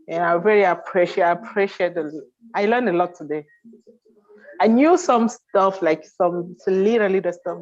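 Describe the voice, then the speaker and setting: A woman from Cameroon on a video call, telling her story in a halting way with many restarts. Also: fairly low pitch, a little mellow. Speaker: guest. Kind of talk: casual chat. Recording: dull lo-fi call audio.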